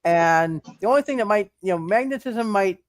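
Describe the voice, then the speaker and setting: A game master with a mid-range voice narrates a tabletop roleplay session. The delivery is halting, circling back over words and repeating them while working out rulings. The sound is close and dry. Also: medium pitch, animated, no echo.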